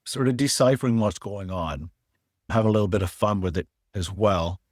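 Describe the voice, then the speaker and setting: A man's voice: lowish voice, moderate pace, a little mellow, dry recording, clean studio sound. A middle-aged man raised in Canada, a former fund manager and author, talking plainly as a podcast guest. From born in Wales, raised in Canada. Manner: plain-spoken, explains step by step.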